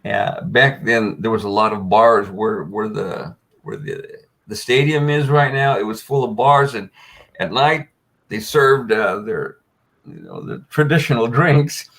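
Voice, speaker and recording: A man's voice: fairly low pitch, animated, unhurried, vocal fry, fairly bright tone. An older man, telling a long personal story as a guest on a panel, with many fillers and restarts. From United States.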